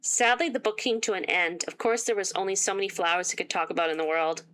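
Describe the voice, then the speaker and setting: A woman reading a visual novel aloud with sarcastic asides, her voice getting worn and hoarse. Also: lowish voice, fast, fairly bright tone. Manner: reads game text aloud and breaks off with sarcastic asides. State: losing her voice. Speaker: gaming YouTuber.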